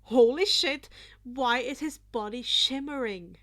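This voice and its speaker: A woman's voice: sits high, takes it slowly, fairly bright tone, exaggerated voice. A woman voicing a brash, hot-tempered teenage boy in a story reading. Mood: stunned, panicked.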